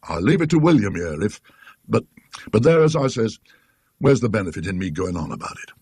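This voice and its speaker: A man's voice: on the low side, big pitch swings, fairly quick, a little mellow, husky, dry recording, heavily acted. A man voicing a talkative, indignant working-class British tram conductor in a ghost story, rambling and emphatic in his colloquial speech. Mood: baffled, emphatic.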